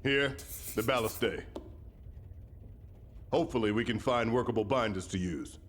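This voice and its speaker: A man playing a stern, commanding general in a video game, speaking with measured authority. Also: mid-range voice, a bit bright, a little hiss or hum, natural voice. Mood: stern, businesslike. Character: stern, commanding male army general briefing troops. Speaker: military commander. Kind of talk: video game cutscene acting.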